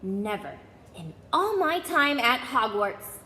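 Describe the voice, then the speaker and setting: A woman voicing a stern, furious older woman schoolteacher from a storybook, sharp, clipped and commanding. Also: on the high side, big pitch swings, slow, full character voice. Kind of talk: storybook character voice. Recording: echoey hall, faint background noise.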